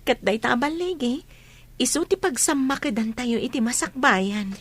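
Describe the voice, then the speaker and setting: Woman acting young student, Filipino accent, earnest, high-pitched, radio drama. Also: normal speed, slightly rough.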